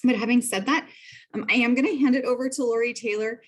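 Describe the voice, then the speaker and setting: A woman's voice: a little high, steady tone, fast talker. A woman instructor hosting an online information session, friendly and organized, speaking through a computer mic on a video call. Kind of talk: casual online meeting.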